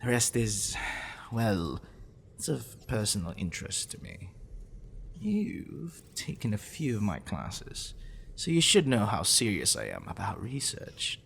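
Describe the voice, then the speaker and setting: Obsessive, smugly intellectual male professor played by a man for ASMR roleplay; low-pitched, animated, condescending, with whispered asides. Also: a little hiss or hum, heavily acted. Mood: smug, clinical. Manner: condescending, teasing, deliberate, dropping into breathy whispers. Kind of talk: ASMR roleplay, addressing the listener intimately.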